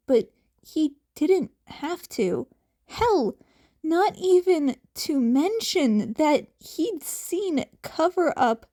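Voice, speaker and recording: Very slow, higher-voiced woman narrating fiction. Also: warm tone. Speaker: amateur audiobook narrator. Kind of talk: expressive scripted fiction narration.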